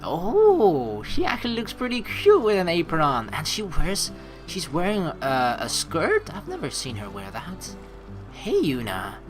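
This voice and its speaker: A woman's voice: on the low side, huge pitch swings, measured pace. A young woman reading a game aloud as a streamer, adding chatty, casual asides as she goes. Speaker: let's play streamer. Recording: faint game music behind.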